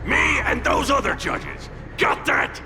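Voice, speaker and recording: A man voicing a boastful, hot-tempered fire spirit in a video game, brash and gravelly. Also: very high-pitched, expressive, a little slow, background noise. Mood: indignant. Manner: brash, shouting, indignant. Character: boastful, hot-tempered fiery spirit sealed inside a young judge. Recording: loud music behind the voice.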